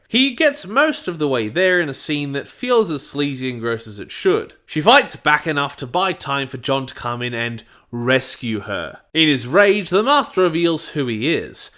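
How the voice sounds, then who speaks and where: A man's voice: mid-range voice, pushed and bright. A man reading a scripted review narration in a wry, informal manner. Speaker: audio drama reviewer.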